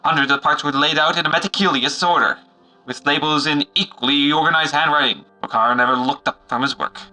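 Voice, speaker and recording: Man as a bright, theatrical serial announcer. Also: on the high side, steady tone, fairly quick, faint music behind, low-quality recording. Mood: storytelling. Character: dramatic male serial announcer and narrator. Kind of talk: scripted narration of adventure serial.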